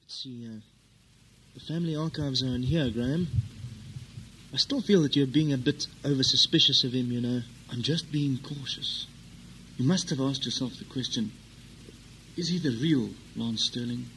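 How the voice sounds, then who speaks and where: A man's voice: medium pitch, moderate pace, pauses often, a bit bright, slight background noise, lightly acted, low-quality recording. A man acting a fussy, cautious and suspicious brother in a comic audio drama.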